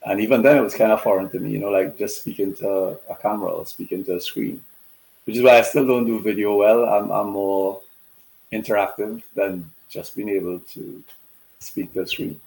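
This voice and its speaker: A middle-aged man from Trinidad, a business consultant chatting as a guest on a video call in a relaxed, conversational way. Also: fairly low pitch, normal speed, vocal fry, low-quality recording. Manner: relaxed and conversational, many "you know" fillers. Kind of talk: casual interview chat over video call.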